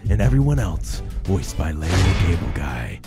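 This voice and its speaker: A young man voicing a deep movie trailer announcer over music, exaggerating the drama. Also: very animated, moderate pace. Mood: dramatic.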